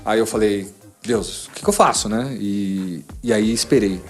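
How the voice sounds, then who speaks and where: A man's voice: lowish voice, moderate pace, faint music behind. A man in his forties with a São Paulo Brazilian accent, telling a personal story as a podcast guest, casually acting out remembered conversations. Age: 40s. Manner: animated retelling with quoted dialogue.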